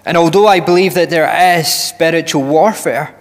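A man in his thirties preaching a sermon in a church hall on a headset mic, earnest and deliberate, often restarting and building emphasis. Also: a little high. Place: church hall with long reverb.

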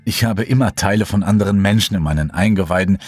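A man's voice: fairly low pitch, fast, a little mellow, slightly rough, no echo. A man voicing a teasing cannibal killer woman. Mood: cold. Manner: teasing, coolly condescending.

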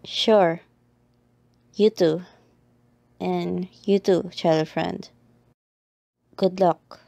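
A woman acts out a reluctant tsundere girl in an ASMR roleplay. Her voice is mellow, with plenty of fry. She talks very slowly in short, halting phrases, easily flustered. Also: lowish voice, steady tone, no room sound, full character voice.

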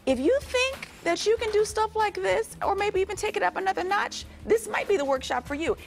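A woman's voice: very high voice, animated, brisk, gravelly, slight background noise. A woman hosting a morning TV show, chatty and enthusiastic, interviewing guests in a studio. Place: TV studio set.

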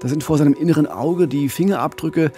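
A man's voice: on the high side, quick pace, mellow, soft background music. A man in his forties telling a true-crime story conversationally as a podcast host, close on a studio microphone. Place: radio studio. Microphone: close studio microphone with pop filter.

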